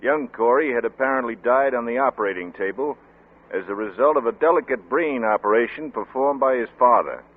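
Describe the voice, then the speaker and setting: A man's voice: mid-range voice, lightly acted. Tinny old-radio newsman played by a man. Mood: sober, factual. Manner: measured, formal, matter-of-fact. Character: sober male news reporter reading a grim bulletin. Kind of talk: 1940s radio drama news report. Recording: tinny narrow-band old radio recording.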